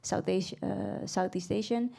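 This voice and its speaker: A woman's voice: mid-range voice, steady tone, moderate pace, creaky, warm tone. A woman raised in the Netherlands, speaking as a panelist in a live stage discussion, thoughtful and hesitant, with many fillers. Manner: reflective, thinks aloud with many fillers and laughs. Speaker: panelist and singer. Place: event venue stage.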